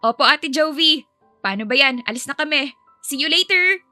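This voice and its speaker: Woman as young female nanny, polite, animated, friendly, easily flustered, audio drama dialogue, faint music. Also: high-pitched, normal speed, dry recording, voice pushed up, heavily acted. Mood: friendly, cheerful. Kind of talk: acted audio story dialogue.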